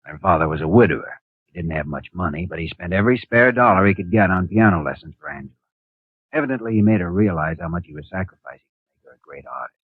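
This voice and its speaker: An earnest young doctor in a 1950 radio drama, with a very low, slightly husky voice. He explains cases in a measured, clinical way. The sound is tinny and dry, like an old broadcast. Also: animated, dry recording. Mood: explaining. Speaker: hospital doctor. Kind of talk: 1950s radio drama acting.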